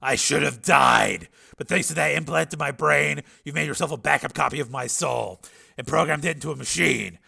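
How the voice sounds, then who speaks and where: A man voicing a hard, bitter cyborg man in an audiobook, gravelly and forceful, pressing with challenging questions. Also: fairly high pitch, moderate pace, pitched above the performer's own voice, exaggerated voice. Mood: bitter, defiant.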